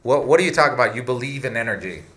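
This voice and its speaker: Give me a man, bright voice, asking halting questions in an echoey studio. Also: medium pitch, expressive. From Colombia, raised in the United States. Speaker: podcast co-host. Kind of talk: casual interview chat. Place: small studio room. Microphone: studio mic on arm. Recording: roomy studio echo.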